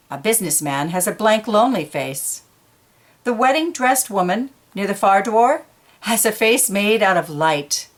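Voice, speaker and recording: Female children's read-aloud storyteller, mid-range voice, with chatty explanatory asides for young listeners. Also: mid-range voice. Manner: explains pictures in informal asides between read lines. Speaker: picture book read-aloud reader.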